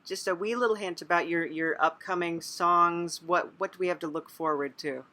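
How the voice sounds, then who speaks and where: A woman's voice: fairly low pitch, steady tone, normal speed, bright, forceful tone. A woman hosting a casual interview show, encouraging and agreeable as she chats with her guest.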